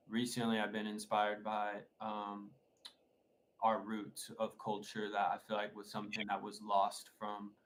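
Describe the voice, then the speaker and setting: Casting note: young male producer, low, even delivery, rambling and enthusiastic. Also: a little hiss or hum, rough recording. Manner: rambling, enthusiastic storytelling with many fillers. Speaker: music producer, interview guest. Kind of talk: casual interview chat.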